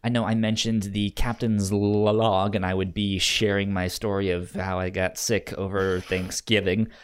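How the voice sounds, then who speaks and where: A man's voice: fairly low pitch, flat delivery, a little slow, clean tone. A man co-hosting a podcast in casual chat, stammering and often repeating words. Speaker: podcast co-host.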